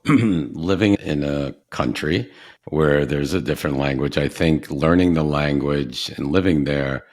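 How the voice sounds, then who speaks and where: A man hosting a podcast interview, asking slow, drawn-out questions. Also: rumbling low. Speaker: podcast host.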